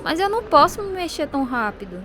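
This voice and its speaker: Casting: devout young Brazilian daughter, earnest and wounded, fairly high voice, radio drama narration over prominent music. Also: female voice, sing-song, fast talker. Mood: hurt, pleading. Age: young adult. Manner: earnest, heartfelt. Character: devout, hurt young daughter recounting her life, earnest.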